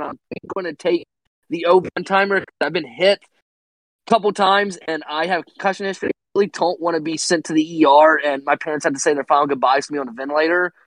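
Podcast co-host, man, slightly high voice, rambling and laughing, dry close mic. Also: no room sound, rough recording. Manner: rambling, laughs while talking, repeats "I mean". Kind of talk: casual chat.

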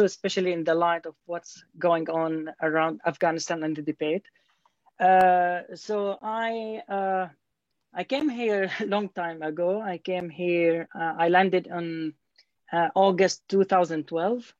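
A man's voice: high-pitched, no echo. A man, a guest answering interview questions hesitantly, with many fillers and restarts. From Syria. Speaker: guest, scholar. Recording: dull, dry lo-fi audio.

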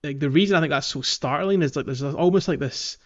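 A man hosting a casual podcast about a game, talking in a halting, thoughtful way. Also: fairly high pitch. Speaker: podcast host. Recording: slightly dull, muffled audio.

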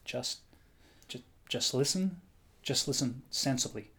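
Dutiful, jittery doctor for radio drama played by a man. Halting stammer, urgent reasoning, highly varied pitch. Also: lively intonation, pushed and bright. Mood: nervous insistence. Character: nervous, dutiful male doctor insisting on reason. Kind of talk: radio play acting.

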